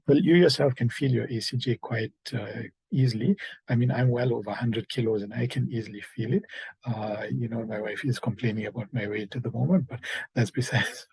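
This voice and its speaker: A man giving an informal medical lecture in a conversational, hesitant way, often pausing on filler words. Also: mid-range voice, vocal fry, dark tone, husky, no echo, rough recording. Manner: hesitant, conversational, rambling delivery with many fillers. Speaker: medical lecturer, emergency doctor.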